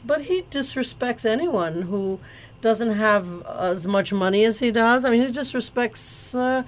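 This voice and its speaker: A woman's voice: mid-range voice, slight background noise. Hesitant, indignant woman guest. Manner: thoughtful, hesitant with many fillers and restarts; indignant, emphatic. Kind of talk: conversational interview answers.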